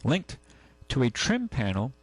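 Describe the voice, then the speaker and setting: A man hosting a call-in radio show, talking casually and haltingly, rambling as he goes and reading out items. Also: mid-range voice, wildly swooping pitch, breathy edge, no echo. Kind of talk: casual talk radio, reading news and chatting. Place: radio studio. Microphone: close studio microphone.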